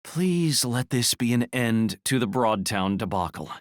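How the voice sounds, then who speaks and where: A man's voice: medium pitch, lively intonation, measured pace, dry recording, lightly acted. An actor playing a courteous, weary man with dry exasperation in an audiobook drama. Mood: exasperated. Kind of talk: full-cast audiobook drama acting.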